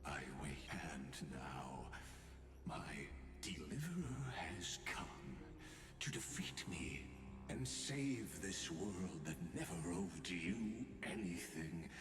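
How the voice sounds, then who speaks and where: Very deep, grandiose captive villain. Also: male voice, exaggerated voice. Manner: deliberate, grandiloquent, philosophical. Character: imprisoned male villain, grandiose philosophical narrator awaiting his destroyer. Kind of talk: theatrical scripted game monologue. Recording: echoing voice over clear background music.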